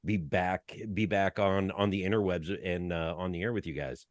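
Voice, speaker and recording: A man chatting as a guest from his home basement, with a booming voice. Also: normal speed, dry recording, studio quality. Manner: booming, self-described. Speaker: guest, producer. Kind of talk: casual chat.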